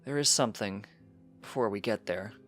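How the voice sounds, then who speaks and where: A calm, loving father pony, voiced by a man, soft-spoken and fairly low. He sounds gentle and resigned, a storybook parent with music behind him. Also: moderate pace, close to an everyday voice.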